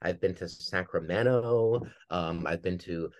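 Low male voice, Minnesota accent, middle-aged, earnest, reflective, conversational interview guest. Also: a little slow. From Minnesota, United States. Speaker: podcast guest, theater director.

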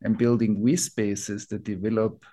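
A man from Austria with an Austrian accent, a native German speaker, explaining ideas reflectively as an interview guest, building his thoughts in short, halting phrases. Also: on the low side, little pitch movement, mellow. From Vienna, Austria. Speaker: interview guest, teacher of collective trauma healing.

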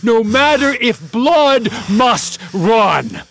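An actor playing a scheming soldier who feigns loyalty, with a measured, insinuating delivery of classical verse in a radio play. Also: male voice, very high-pitched, very animated, creaky, fairly bright tone, soft background music, exaggerated voice. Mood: solemn vowing.

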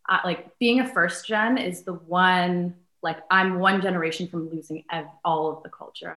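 A woman's voice: lowish voice, steady tone, normal speed, pushed and bright. An American woman chatting casually and personally. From born in Sudan, raised in the United States. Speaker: beauty influencer, guest. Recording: roomy, echoing voice recording.

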